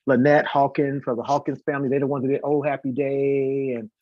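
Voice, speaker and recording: An American man, an interview guest and singer, telling casual anecdotes in an animated way and acting out the dialogue. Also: medium pitch, brisk. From St. Louis, United States. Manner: animated, acts out quoted dialogue. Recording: echoey, dull lo-fi audio.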